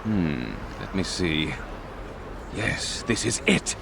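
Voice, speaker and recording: An actor playing an earnest, kindly medic and shopkeeper in a video game. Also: male voice, low-pitched, very animated, slow pace, vocal fry, noisy background, exaggerated voice.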